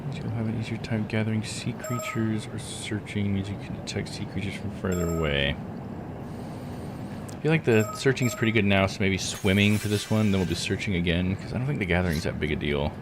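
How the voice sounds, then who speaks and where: A man's voice: on the low side, a little fast, background noise. A man streaming a video game, giving casual, slangy live commentary and muttering to himself with mild swearing. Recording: loud game music behind the voice. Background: video game music.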